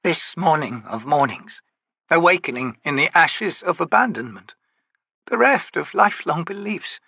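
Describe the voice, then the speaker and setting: A man voicing a weary young female priestess who speaks quietly and reflectively in an audiobook. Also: low voice, expressive, measured pace, vocal fry, husky, no room sound, muffled recording, voice pushed up, exaggerated voice. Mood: wondering.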